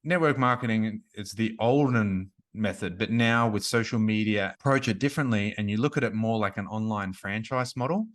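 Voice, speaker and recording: A man's voice: fairly low pitch, moderate pace, hardly any pauses. A man in his forties, a business coach and author, talking casually in an interview and often restarting his sentences around "like.". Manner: chatty, frequent "like" and restarts.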